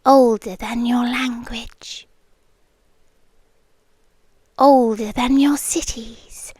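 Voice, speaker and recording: Woman acting a slow, high-voiced ancient British predator fae. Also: fairly even intonation, slightly rough, no room sound. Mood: quietly menacing. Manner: slow, hushed, deliberate, often dropping into whispers. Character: ancient female predator fae, possessive, teasing yandere girlfriend. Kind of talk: ASMR roleplay. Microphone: close microphone, dry.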